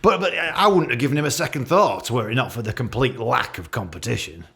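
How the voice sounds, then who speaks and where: A man's voice: mid-range voice, expressive, fairly quick, gravelly, fairly bright tone. A man playing an awkward, catty office boss with a British accent, giving a hesitant, rambling, jokey leaving speech in a radio play. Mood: hesitant, barbed. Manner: hesitant, repetitive, jokey with barbed asides.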